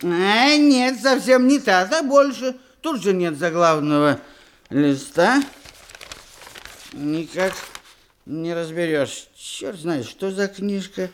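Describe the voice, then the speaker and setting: A man's voice: high voice, expressive, takes it slowly, slight background noise. A male actor playing a fussy, cowardly and pompous guardian and tutor in a radio comedy, hesitating and stammering in theatrical verse. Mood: irritable. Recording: music behind archived radio play.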